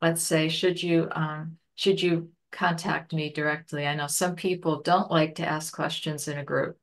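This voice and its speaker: Woman presenting a grief webinar on a video call; lowish voice, hesitant delivery. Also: flat delivery, moderate pace. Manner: hesitant, searching for words. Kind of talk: online lecture and Q&A.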